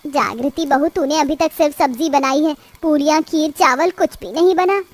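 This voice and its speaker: Woman voicing a high-voiced, scolding older mother-in-law. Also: moderate pace, no room sound, lightly acted. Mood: reproachful. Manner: reproachful, scolding. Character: stern, grumbling older mother-in-law of the household. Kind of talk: Hindi moral-story cartoon dubbing.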